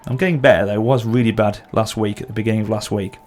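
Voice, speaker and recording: A man's voice: on the low side, fairly even intonation, racing, darkish tone, soft background music. A man with a sore throat and occasional cough, casually commenting as he plays a strategy game. He thinks aloud and corrects himself as he goes. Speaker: gameplay channel host.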